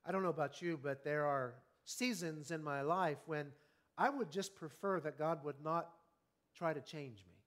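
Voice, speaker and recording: A man in his fifties preaching an evening sermon, earnest and conversational, often asking the congregation questions and slowing down on key words. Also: fairly high pitch, fairly bright tone. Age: 50s. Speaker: pastor.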